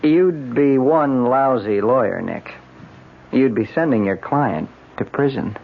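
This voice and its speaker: Methodical American doctor, calm and dry, gravelly voice, muffled vintage radio recording. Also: male voice, mid-range voice, slow pace, a little hiss or hum. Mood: dry, cautioning. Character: methodical male forensic doctor, calm and knowing. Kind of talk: old-time radio drama acting.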